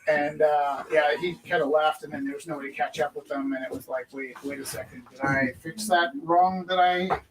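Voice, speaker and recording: A man's voice: on the high side, steady tone, normal speed, few pauses, background noise. A man casually telling a story on a live stream, easygoing and trailing off with fillers. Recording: distant mic with people chatting behind.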